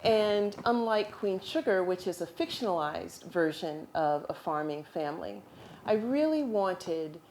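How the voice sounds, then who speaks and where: A woman with a somewhat low voice leads a panel at a live event. Her speech is relaxed and unscripted. A faint hum of background noise sits under her voice. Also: measured pace, low-quality recording. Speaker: author and moderator.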